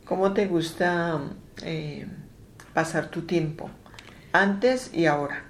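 A woman interviewing a friend for an oral history, gentle and hesitant with many fillers. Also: on the low side, takes it slowly, slight background noise.

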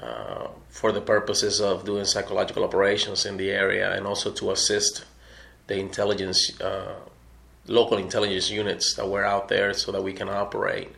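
This is a middle-aged army veteran from Puerto Rico, giving an oral history interview. He speaks in a fairly low voice, measured and unhurried, and often pauses with um and uh as he recalls events. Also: steady tone, creaky, sharp tone.

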